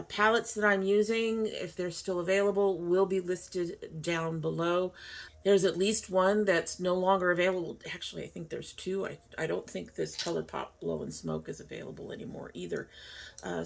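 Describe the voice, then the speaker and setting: A woman's voice: fairly low pitch, vocal fry. A woman hosting her own channel in a chatty, informal way, sounding stuffy and sniffly from a sinus cold. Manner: chatty and informal, apologetic asides. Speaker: host. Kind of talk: casual talk-through while doing makeup.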